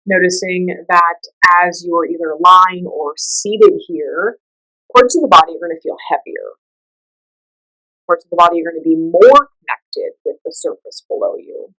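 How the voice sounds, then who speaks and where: A woman leading a guided meditation, giving gentle instructions in a soothing, unhurried and conversational way. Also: lowish voice, rough recording.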